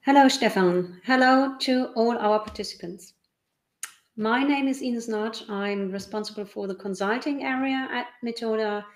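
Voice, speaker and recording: A woman's voice: medium pitch, reverberant. A woman introducing herself as a consultant in a webinar, using fillers as she talks. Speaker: consultant, co-presenter.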